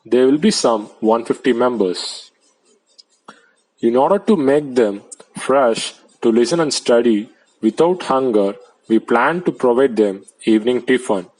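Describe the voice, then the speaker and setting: A man, reading out translated debate speeches in a plain, measured way. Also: medium pitch, expressive, darkish tone, low-quality recording.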